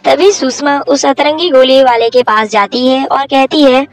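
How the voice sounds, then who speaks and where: A woman's voice: sits high, a little slow. A woman narrator reading a scripted moral story for children.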